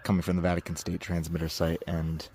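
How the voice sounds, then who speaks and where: A man's voice: low-pitched, monotone, fast talker, a little mellow. A teenage man giving casual spoken commentary as a radio hobbyist, recorded outdoors. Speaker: shortwave radio hobbyist narrating a bandscan. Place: outdoors at night. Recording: clear background noise.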